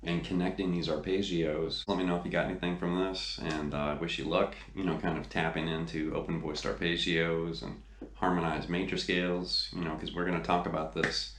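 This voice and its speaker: A man's voice: sits low, flat delivery, fairly quick, a little hiss or hum. A middle-aged man giving a casual guitar lesson in a laid-back, conversational way. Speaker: guitar teacher. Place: small home studio room. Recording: roomy sound with loud guitar. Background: electric guitar playing.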